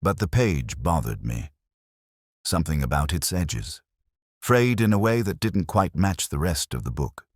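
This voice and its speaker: Very deep-voiced man narrating a mystery. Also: big pitch swings, no echo, studio quality. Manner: measured, reflective, literary delivery. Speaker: narrator of a detective story. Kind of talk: scripted first-person mystery narration.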